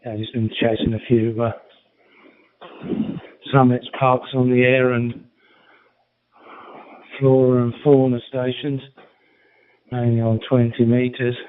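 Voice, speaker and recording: A middle-aged or older man chatting as an amateur radio operator, halting and understated, over a radio link. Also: medium pitch, little pitch movement, no room sound. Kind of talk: casual radio chat. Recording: narrow-band, phone-like radio link.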